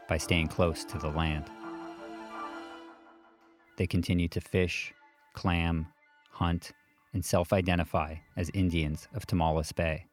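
Very deep-voiced male documentary narrator. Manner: measured, even delivery of prepared text. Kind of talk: scripted narration.

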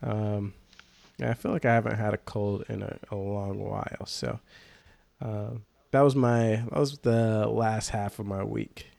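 A man's voice: on the low side, steady tone, unhurried, creaky, darkish tone, dry recording. A man hosting a casual podcast, slangy and laid-back, sounding nasal from a cold. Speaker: podcast host.

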